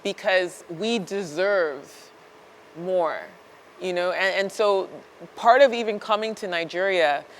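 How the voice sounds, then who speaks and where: A woman's voice: lowish voice, takes it slowly, slight background noise, rough recording. A woman in her thirties from the Chicago area, answering interview questions in an earnest, reflective way with many fillers and restarts. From United States (Chicago area). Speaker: startup founder, guest.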